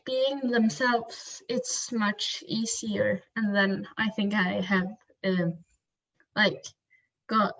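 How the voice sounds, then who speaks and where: A teenage girl speaking in a halting way, full of fillers, on a casual video call. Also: medium pitch, a bit bright, muffled recording. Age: teens.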